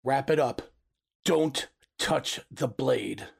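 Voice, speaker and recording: A gruff battlefield comrade in a sci-fi audio drama. The voice is extremely low, gravelly and husky, with slow, curt delivery. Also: female voice, heavily acted. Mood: gruff, urgent.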